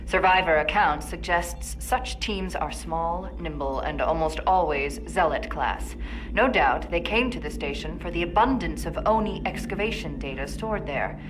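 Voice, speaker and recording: Actress as a haughty, low-voiced woman scientist. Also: normal speed, natural voice, rough recording. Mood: scornful. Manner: clipped, imperious, formal. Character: haughty middle-aged woman scientist, cold and commanding. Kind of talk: video game cutscene acting.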